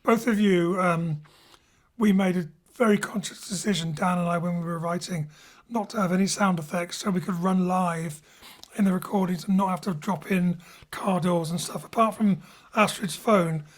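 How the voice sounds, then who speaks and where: A woman's voice: lowish voice, fairly even intonation, moderate pace. A woman hosting a post-show interview as director, with reflective, rambling questions. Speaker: director and host.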